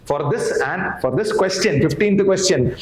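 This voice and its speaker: A man's voice: sits high, unhurried. A middle-aged Indian-accented man giving an informal exam-analysis lecture as a history teacher, emphatically repeating phrases and asking questions he answers himself. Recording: roomy echo, clear background noise.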